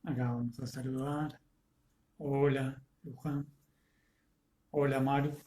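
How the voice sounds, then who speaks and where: Casting: Rioplatense Spanish man, teacher with a cold, slow and unhurried, echoey room. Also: mid-range voice, little pitch movement, dark tone. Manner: greets attendees by name, halting with many fillers. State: congested with a cold and fever. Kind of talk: live online lecture.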